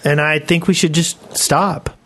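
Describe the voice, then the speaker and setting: A man's voice: on the high side, steady tone, unhurried, fairly bright tone, a little hiss or hum. A man co-hosting a casual podcast, chipping in with a wisecracking manner. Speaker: podcast co-host. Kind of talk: casual chat, joking interjections.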